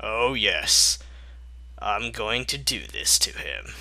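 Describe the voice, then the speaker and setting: A man's voice: fairly low pitch, very animated, slow, creaky, bright, forceful tone, dry recording, heavily acted. A man voicing a smug, scheming female inventor in a comedic story reading, sly and falsely sweet.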